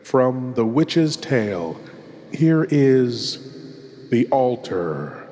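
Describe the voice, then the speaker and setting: A man as a courtly, sinister horror host, narrating theatrically with ominous dramatic pauses. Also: mid-range voice, sing-song, dark tone. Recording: long cavernous reverb.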